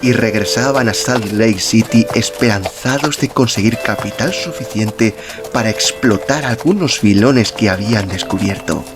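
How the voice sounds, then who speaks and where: A man's voice: fairly low pitch, moderate pace. A man reading literary narration as an audiobook narrator. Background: music.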